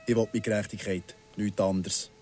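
An actor playing a vengeful, patient ex-convict in his thirties in a radio drama, speaking Swiss German in a deliberate, sardonic way. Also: fairly low pitch, flat delivery, a little mellow, soft background music, lightly acted. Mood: menacing. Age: 30s.

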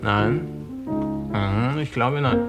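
An actor playing an evasive, falsely jovial owner of a seedy pub in a 1960s German radio crime drama, with piano music behind him. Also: male voice, medium pitch, extremely slow. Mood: defensive. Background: bar piano music.

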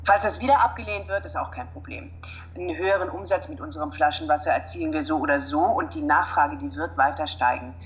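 Ruthless female executive deputy played by an actress, notably deep register, brisk, businesslike radio drama delivery. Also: close to an everyday voice. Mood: confident, scheming. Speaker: executive assistant.

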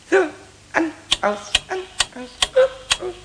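A man playing a cheeky, tipsy little kobold in a 1970s children's radio play, childlike, boastful and excitable, stammering and breaking into sing-song rhyme. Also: extremely high pitch, slow pace, vocal fry, noisy background, exaggerated voice. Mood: tipsy, playful.

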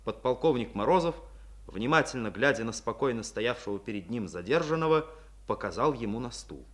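A man's voice: medium pitch, lively intonation. A man reading scripted narration for a 1950s Soviet radio drama in a solemn, declamatory manner. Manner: solemn, declamatory, measured diction.

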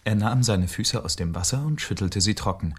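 Ein Schauspieler erzählt als Sprecher eines Hörspiels ein komisches Märchen nach Manuskript. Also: male voice, lowish voice, lively intonation, normal speed, husky. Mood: narrating. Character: storyteller of a comic fairy tale. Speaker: narrator. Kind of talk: scripted radio play narration. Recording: music bed behind the voice.

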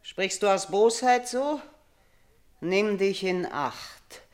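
An actress playing a middle-aged, tormented and menacing queen in a radio tragedy, with imperious, drawn-out theatrical declamation. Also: mid-range voice, animated. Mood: commanding, menacing.

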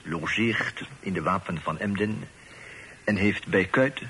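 An actor playing a deferential, fussy informant in a radio play, affectedly formal and hesitant, dropping French phrases. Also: male voice, sits low, takes it slowly, sharp tone, heavily acted. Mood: officious, deferential. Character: deferential, fussy male police informant peppering speech with French. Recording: faintly noisy old radio recording.

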